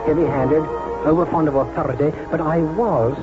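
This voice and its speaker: An actor playing a kindly, weary old British country doctor in 1940s radio drama, speaking in a gentle, measured, reflective way. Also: male voice, on the high side, steady tone, lightly acted. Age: older. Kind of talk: 1940s radio drama acting, first-person narration. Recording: muffled 1940s radio broadcast, music behind.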